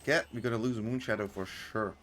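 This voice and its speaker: Male streamer with a non-native accent, relaxed gameplay talk with faint music under it. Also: on the low side, brisk. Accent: unplaced non-native accent. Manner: chatty, loose, with many fillers and restarts. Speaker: content creator and streamer. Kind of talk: casual gameplay commentary.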